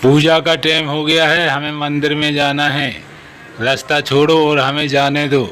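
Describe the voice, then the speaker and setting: A man's voice: fairly high pitch, monotone, moderate pace, bright, forceful tone. A man voicing a stern, impatient temple priest in a devotional cartoon, scolding and commanding. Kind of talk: Hindi devotional cartoon story acting. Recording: clear background noise.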